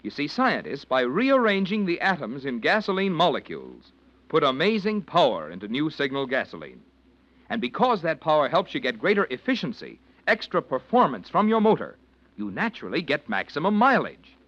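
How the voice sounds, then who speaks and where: A man with an American accent as a radio announcer, delivering a polished, emphatic 1940s sponsor pitch. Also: huge pitch swings, normal speed, a bit bright. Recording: dull old 1940s radio recording.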